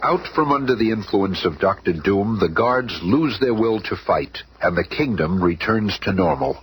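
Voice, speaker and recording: An actor playing a dramatic narrator of a 1970s superhero radio serial. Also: male voice, lowish voice, expressive, moderate pace. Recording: very noisy old radio recording.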